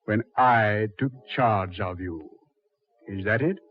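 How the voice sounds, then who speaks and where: An actor playing a suave, mocking Devil in a measured, mock-polite 1940s radio horror style, on a muffled old recording. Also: male voice, fairly low pitch, a little hiss or hum. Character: the Devil, suave, mocking, coldly taunting male master of hell.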